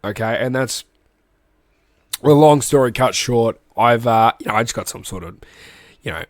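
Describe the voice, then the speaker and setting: An Australian man hosts a loose, chatty sports recap while fighting off a cold. His voice sounds phlegmy, and he coughs now and then. It sits at a middling pitch and is recorded close and dry. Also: moderate pace, no room sound. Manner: rambling and chatty, with restarts and asides. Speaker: rugby league podcast host. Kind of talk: casual recap chat.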